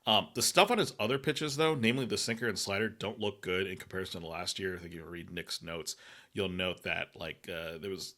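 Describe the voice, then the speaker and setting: Man, podcast host, bright tone, slightly low pitch, casual halting delivery. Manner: halting, many fillers and restarts. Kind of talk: casual analytic chat.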